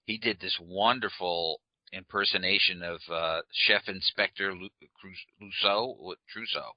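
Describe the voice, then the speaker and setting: A man with a very low voice hosts a casual interview, drifting into rambling stories and tripping over a word now and then. The sound is dry and a little dull. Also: unhurried, no room sound. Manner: relaxed, rambling asides, some slips. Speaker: interview host.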